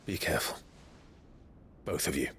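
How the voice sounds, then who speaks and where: A man's voice: moderate pace, fairly bright tone, a little hiss or hum, natural voice. A man playing a brooding, protective fantasy game hero, speaking in a grave, terse, commanding way. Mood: grave concern. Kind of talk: fantasy video game voice acting.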